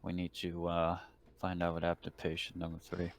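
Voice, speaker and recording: A man's voice: low voice, completely flat delivery, fairly quick, no echo. A man playing a tabletop roleplaying game, talking casually, partly in character.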